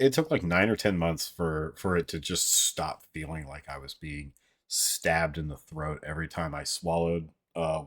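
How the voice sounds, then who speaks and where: A young man casually chatting with livestream viewers in a deep, fairly monotone voice, rambling with filler words and frequent swearing, his voice a little strained. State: voice strained from an old throat injury. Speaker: livestream host.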